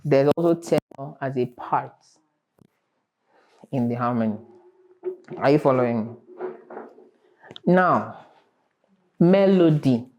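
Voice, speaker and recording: A man's voice: medium pitch, expressive, slow pace, long pauses, darkish tone, muffled recording, slight background noise. A man teaching a vocal class, stressing and repeating his points and singing short examples. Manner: emphatic, repeats key points, sings examples. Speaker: vocal coach.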